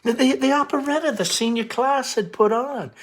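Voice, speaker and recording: A man's voice: very high voice, normal speed, voice pushed up. A man voicing a shy, fragile, wistful young woman with a hesitant, apologetic manner in a stage play reading.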